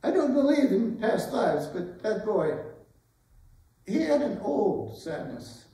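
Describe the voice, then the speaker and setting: An older woman playing a gentle, wistful retired schoolteacher in a dramatic stage reading, musing and hesitant. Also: medium pitch, big pitch swings, slow pace. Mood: sorrowful, wistful. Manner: hesitant, musing, tender, asking questions. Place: indoor arts center stage. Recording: roomy, distant stage recording.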